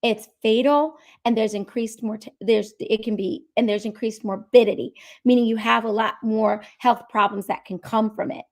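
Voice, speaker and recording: A woman with a cold and a hoarse voice, giving an explanatory medical talk at a seminar and repeating key points. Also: fairly high pitch, fairly even intonation, moderate pace, few pauses. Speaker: medical speaker.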